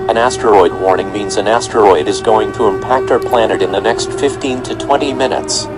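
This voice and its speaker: A man playing a grave emergency broadcast announcer, reading formal, measured warnings in a scripted alert. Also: fairly low pitch, loud music behind the voice, lightly acted. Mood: grave, urgent. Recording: very noisy emergency broadcast audio.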